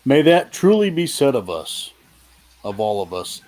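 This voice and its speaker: A man's voice: mid-range voice, sing-song, a little slow, darkish tone. A man narrating a classic story from a script in a live play reading.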